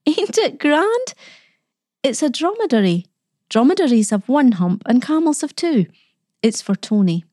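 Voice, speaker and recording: A woman voicing an excitable, stubborn young boy in a storybook, exclaiming and protesting eagerly. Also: fairly low pitch, normal speed, hardly any pauses, dry recording, clean studio sound. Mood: eager, excited.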